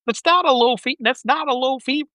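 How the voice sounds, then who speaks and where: A woman's voice: high voice, a little fast, fairly bright tone, no echo, studio quality. A woman co-hosting a casual podcast chat, insistent and repeating herself with stumbling starts. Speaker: podcast co-host.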